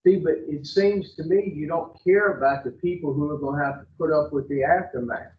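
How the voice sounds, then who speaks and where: A man's voice: a little high, fairly quick. A man, bluntly questioning new clients at an addiction treatment intake. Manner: blunt, confrontational, drawling. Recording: far-off phone-like audio in an echoey room.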